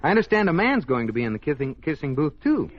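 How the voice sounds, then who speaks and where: An actor playing a self-important, easily flustered husband with an American accent in an old radio sitcom. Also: male voice, fairly high pitch, big pitch swings, quick pace, crowd noise, background noise. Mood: sheepish, relieved. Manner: pompous, stammering when cornered. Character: self-important banker husband, nervously evasive, protesting too much. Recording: muffled old radio recording, studio audience.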